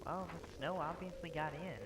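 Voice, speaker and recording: An actor playing a proud, determined local TV reporter in a horror web series, talking insistently. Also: male voice, fairly high pitch, moderate pace, noisy background, lightly acted. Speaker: TV reporter character.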